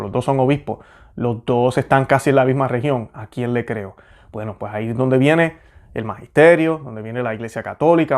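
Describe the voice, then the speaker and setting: A young man hosts a faith program, earnest and conversational, often pausing on fillers as he works through his thoughts. His voice sits at an ordinary middle pitch. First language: Spanish. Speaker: host. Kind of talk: religious commentary and prayer.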